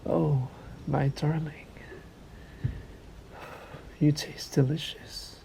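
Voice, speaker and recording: A man's voice: level tone, dark tone, a little hiss or hum, full character voice. A man voicing a sadistic, possessive vampire master in an ASMR roleplay, coaxing and commanding in a condescending murmur that often sinks into a whisper. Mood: hungry, seductive. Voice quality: low gravelly murmur, often sinking into whisper. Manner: very slow, deliberate, coaxing and commanding.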